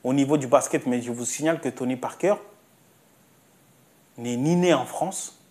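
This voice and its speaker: A man's voice: medium pitch, normal speed, darkish tone. A man delivering a spoken opinion monologue, insistent, repeating himself and asking rhetorical questions. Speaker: commentator.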